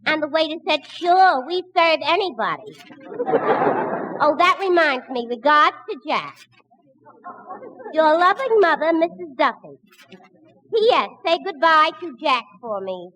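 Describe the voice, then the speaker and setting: Very high, bright radio comedy sidekick. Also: female voice, lively intonation, measured pace, pauses often. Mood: cheerful. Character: Mary, cheerful gag-cracking female sidekick. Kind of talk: 1940s radio comedy acting. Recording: noisy, reverberant vintage radio recording.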